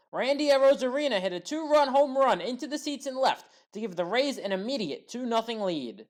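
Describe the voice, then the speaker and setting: A woman reading scripted play-by-play commentary for a sports highlights recap in a matter-of-fact way that lifts on key moments.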